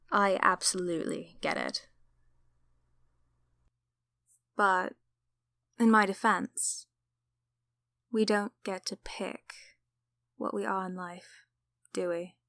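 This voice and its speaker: A woman playing a gentle, apologetic sleep paralysis demon in an ASMR roleplay, soft-spoken and often whispering reassurance. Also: mid-range voice, vocal fry, dry recording. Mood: gentle, reassuring. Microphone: close microphone.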